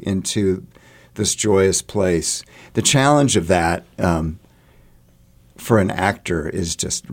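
Male theater guest, fairly low and creaky, casual interview chat, thinks aloud in halting phrases. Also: a little mellow.

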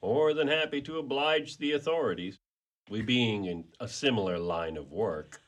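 A man acts out a brawny pet detective for a radio play, with a lively voice that rises and dips a lot. He is folksy and windy, rambling along in an easygoing way. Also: medium pitch, moderate pace, lightly acted. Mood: easygoing, chatty. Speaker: actor in a community radio play.

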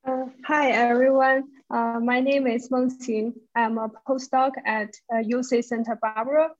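A woman's voice: on the high side, little pitch movement, unhurried. Hesitant woman on a lo-fi call. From China. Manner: hesitant, many fillers. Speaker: physics postdoc. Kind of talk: video call introduction. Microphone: video call. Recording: lo-fi video call audio.

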